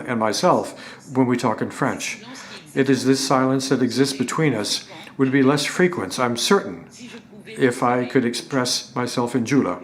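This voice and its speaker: A man speaks at an ordinary middle pitch, interpreting a lecture live. His delivery is halting, with frequent restarts and repeated words as he catches up with the speaker.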